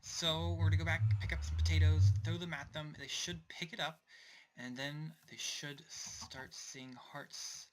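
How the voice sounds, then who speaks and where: A young man casually narrating his game as he plays, rambling and talking to himself, his voice going a little hoarse. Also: on the high side, little pitch movement, a little slow, slight background noise, poor audio. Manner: rambling, self-talking, distracted asides. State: voice getting hoarse. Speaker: let's play host. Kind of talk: casual gameplay commentary.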